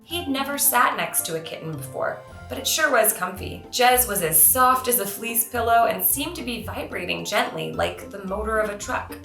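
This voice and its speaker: A woman with a bright, clear-cut storytelling delivery, reading for children as the author. She is expressive and earnest. Her voice has a roomy echo, with music faintly behind it. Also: medium pitch. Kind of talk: expressive children's read-aloud narration.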